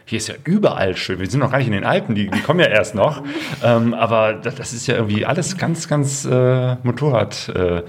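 A middle-aged man hosting a motorcycle travel vlog, chatting casually and halting often with fillers. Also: mid-range voice, expressive, a little hiss or hum. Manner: hesitant, with many fillers. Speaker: vlog host. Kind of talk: casual chat.

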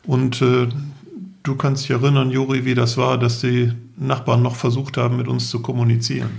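A man's voice: mid-range voice, monotone, moderate pace, vocal fry, a little mellow. A middle-aged man telling travel stories in detail as a podcast guest. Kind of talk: travel storytelling.

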